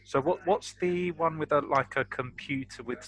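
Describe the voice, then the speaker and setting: A man's voice: fairly high pitch, normal speed, a crowd in the background, low-quality recording. A man playing a tabletop role-playing game, talking casually with the group as he works out the next move.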